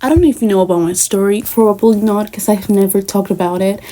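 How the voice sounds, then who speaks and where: Earnest young woman, mellow voice. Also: mid-range voice, fast talker, low-quality recording. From Spain, Moroccan family. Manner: earnest, conversational, many fillers and asides. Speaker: vlogger. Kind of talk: personal talk to camera.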